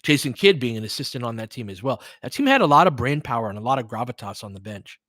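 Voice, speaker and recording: A man's voice: medium pitch, very animated, fast talker, fairly bright tone, no echo, clean studio sound. A man giving informal live-stream play-by-play and commentary, thinking aloud as he chats with listeners.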